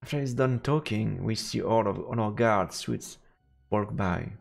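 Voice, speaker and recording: A man streaming a visual novel, reading the text aloud as measured, dramatic narration. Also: on the low side, gravelly.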